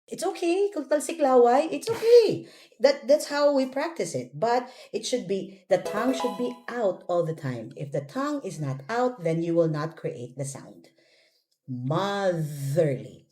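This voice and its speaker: Slow-paced Filipino woman teaching pronunciation, animated pitch. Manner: encouraging, instructive, repeats words slowly. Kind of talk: one-on-one pronunciation drill.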